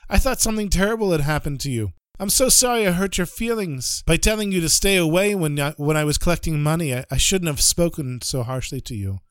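A man's voice: animated, fairly quick, few pauses, smooth, dry recording, natural voice. A man voicing an anxious male rabbi who pleads and stammers in a raised, strained voice. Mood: relieved, apologetic. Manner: pleading, stammering, high-strung.

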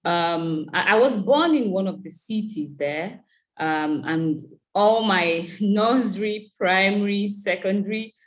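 A Nigerian woman talking as a guest in an interview, easygoing and quick to laugh. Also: mid-range voice. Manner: warm, laughs often, easygoing. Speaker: guest, chief executive. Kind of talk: casual interview.

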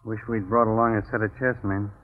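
An actor playing a blunt, grumbling police inspector who talks colloquially in a 1940s radio drama. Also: male voice, on the low side, steady tone, fast, natural voice. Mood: musing. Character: gruff, grumbling male police inspector, slow to catch on. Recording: muffled vintage 1940s radio recording.

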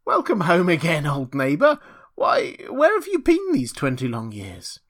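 A man voicing a tottering old village woman in a storybook, exclaiming in an excitable, welcoming way. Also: a little high, a little slow, voice pushed up, exaggerated voice. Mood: astonished, welcoming.

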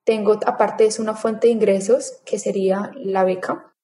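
A woman in her thirties telling her own story in a casual interview, chatty and animated, acting out her past thoughts as quotes. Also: mid-range voice, moderate pace. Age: 30s. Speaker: interview guest.

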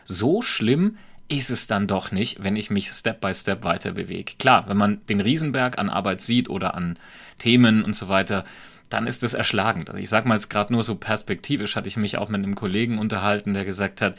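Man, light Franconian accent, low voice, reflective, measured, podcast guest. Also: vocal fry, a bit bright. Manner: reflective, measured, explains with examples. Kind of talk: conversational podcast talk.

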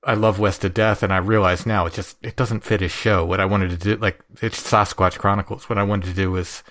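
A man's voice: lowish voice, little pitch movement, fairly quick, fairly bright tone. A man who is an author and podcaster, answering interview questions in a rambling way, often restarting his sentences.

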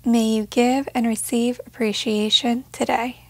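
A woman leading a guided meditation in a gentle, measured way, leaving pauses between short phrases. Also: on the high side, flat delivery, dry recording.

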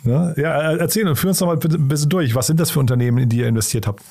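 A man's voice: fairly high pitch, rapid-fire, vocal fry, darkish tone. A man hosting an interview, chatty, asking questions with many fillers. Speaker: podcast host. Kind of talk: casual interview talk.

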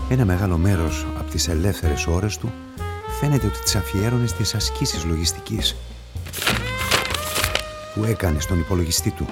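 An actor playing a methodical police investigator who reasons aloud deliberately in a radio drama. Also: male voice, low voice. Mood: pondering.

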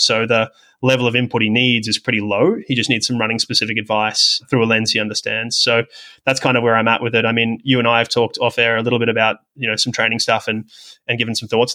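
A man's voice: mid-range voice, pushed and bright. A man speaking conversationally as an interview guest, explaining things in a rambling, reflective, self-deprecating way. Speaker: podcast guest, sports technology professional with medical and coaching background.

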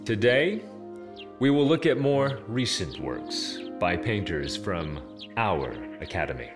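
An actor playing a stern, imperious headmaster of an imperial painting academy, formal and lecturing in a radio drama. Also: male voice, fairly low pitch, expressive, takes it slowly, music in the background.

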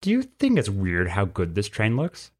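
A man's voice: on the low side, huge pitch swings, normal speed. A man voicing a nervous, sarcastic teenage male bird in a story reading, with a fretful manner. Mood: uneasy. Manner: indignant, fretful.

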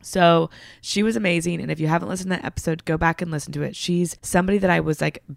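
Woman podcast host, hoarse gravelly voice, chatty and earnest, dry close sound. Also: fairly low pitch, fairly even intonation, fast, dry recording. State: hoarse, recovering lost voice. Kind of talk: casual podcast talk.

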